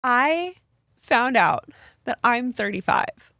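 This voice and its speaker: A woman's voice: fairly high pitch, lively intonation, slow, creaky, sharp tone, dry recording, clean studio sound. A woman in her thirties co-hosting a podcast, chatting casually and telling stories with lots of fillers. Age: 30s. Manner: chatty storyteller, many fillers. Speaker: podcast co-host. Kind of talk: casual chat.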